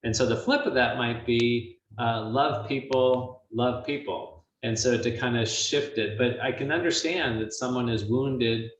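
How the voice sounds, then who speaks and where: A man hosting a webinar conversation, asking questions in a reflective, halting way with many pauses. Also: mid-range voice, distant mic. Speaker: webinar host. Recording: dull, roomy sound from off-mic, faint hiss.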